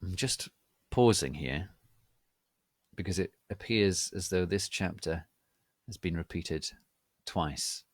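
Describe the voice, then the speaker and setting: A man giving a theatrical dramatic reading of a story, deadpan about its absurd wording. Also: deep voice, slow pace, long pauses, no echo, studio quality. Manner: deadpan, reading misspellings and typos literally. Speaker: reader of online fan fiction.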